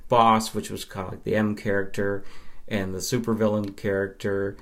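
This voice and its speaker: A man's voice: lowish voice, level tone, moderate pace, a bit bright. A man from Nova Scotia, Canada, chatting casually as an interview guest and film fan.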